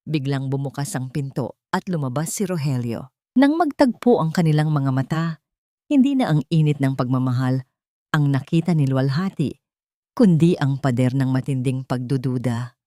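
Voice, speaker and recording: A woman with a low-pitched voice narrates a scripted drama. Her delivery is solemn and suspenseful, and the sound is dry and close. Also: animated, normal speed, warm tone, dry recording.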